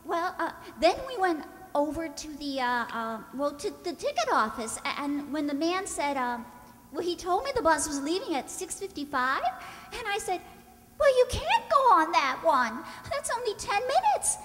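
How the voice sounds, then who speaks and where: A woman playing an excitable, giggly young contestant on an old-time radio show, telling a story in a flustered, stammering way. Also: very high-pitched, moderate pace, full character voice. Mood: breathless recounting. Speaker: stunt contestant. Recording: echoey hall.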